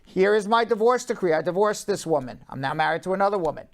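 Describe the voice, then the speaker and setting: A man's voice: high voice, animated, quick pace. A man hosting a live call-in show, giving legal advice to callers in a blunt, emphatic, repetitive way.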